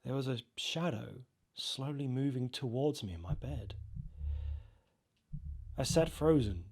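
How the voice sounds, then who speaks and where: Man narrating a scary story, medium pitch. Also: slightly rough. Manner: expressive, halting, acts out reactions. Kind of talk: dramatised first-person scary storytelling.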